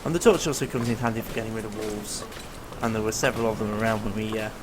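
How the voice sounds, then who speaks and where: A man's voice: fairly low pitch, fairly even intonation, fast, noisy background. Man with a strained voice. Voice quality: rough, tired-sounding voice. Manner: easygoing, explains as he plays, many ums and uhs. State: strained, failing voice. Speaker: gameplay commentator. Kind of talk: casual let's-play commentary.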